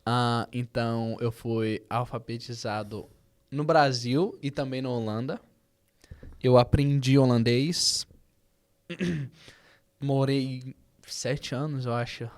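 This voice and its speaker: A young man from Brazil who grew up in the Netherlands, speaking Portuguese with a foreign-tinged Brazilian accent, chatting casually and haltingly as a podcast guest. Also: medium pitch, fairly even intonation. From born in Brazil, raised in the Netherlands. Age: young adult. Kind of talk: casual chat.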